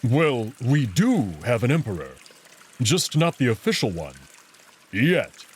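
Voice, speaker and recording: A man voicing a big, brawny, protective furry alien in a visual novel, husky and low-key, with terse, slightly awkward speech. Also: big pitch swings, takes it slowly, exaggerated voice. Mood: guarded, awkward.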